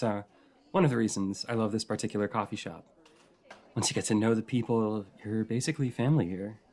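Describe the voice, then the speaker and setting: A man playing a shy, sweet writer in an intimate audio roleplay, chatting in a hesitant, rambling, softly flirty way. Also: mid-range voice, close to an everyday voice. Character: shy, rambly, sweet regular writing in a coffee shop.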